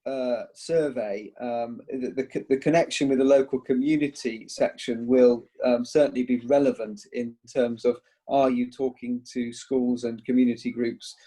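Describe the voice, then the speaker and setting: A man's voice: medium pitch, steady tone, moderate pace, mellow, breathy edge. A man answering a question in an online meeting, hesitant, with many fillers, heard over a video call. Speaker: eco-church scheme speaker answering a question.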